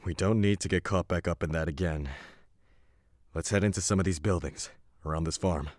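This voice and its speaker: A man playing a blunt, tough fighter who knows his guns, with a gruff, clipped delivery. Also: low voice, a little fast, darkish tone, no room sound, clean studio sound, lightly acted.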